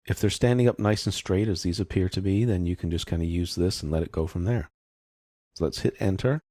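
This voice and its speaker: A man with a low, gravelly voice narrates a screen tutorial. He explains each step informally, as an instructor would. The recording is dry and close, with very little room sound. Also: darkish tone, dry recording. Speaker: software instructor.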